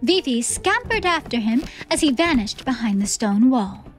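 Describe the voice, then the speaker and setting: A woman reading scripted story narration for a theatrical audiobook in an expressive, measured manner. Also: a little high, soft background music.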